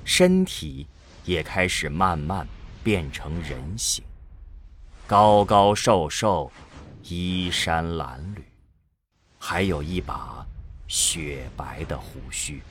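A man's voice: sits low, slow, fairly bright tone, a little hiss or hum. A man narrating a scripted science fiction audio drama as a storyteller.

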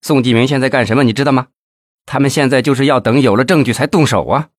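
A man voicing an aging male party official who scolds his nephew in a slow, heavy, sighing way. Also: mid-range voice, husky, no echo, clean studio sound, pitched above the performer's own voice, close to an everyday voice. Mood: weary scolding.

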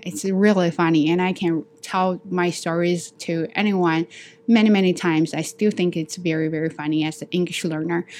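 Lower-voiced woman. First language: Chinese. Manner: animated, acts out both sides of dialogues. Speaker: vlogger sharing language-learning stories. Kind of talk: casual storytelling to camera.

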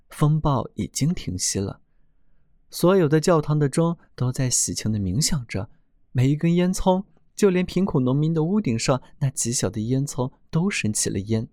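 A man reads a children's fairy tale aloud in a gentle, expressive way. His voice sits in a middle pitch, and the sound is close and dry with no room echo. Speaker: storyteller.

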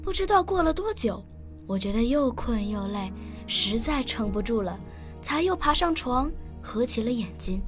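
A very high, childlike voice of an earnest young girl in a radio drama. She is eager and emotional, speaking over music. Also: sing-song, moderate pace. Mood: quiet, reflective. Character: earnest, emotional nine-year-old girl who lost a parent. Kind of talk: radio drama acting with first-person narration.

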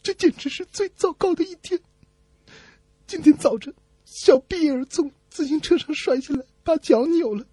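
A man's voice: very high voice, expressive, moderate pace, mellow, dry recording, voice pushed up. A man voicing a fed-up, plaintive housewife complaining about her awful day in a comedy radio sketch. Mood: tearful complaint.

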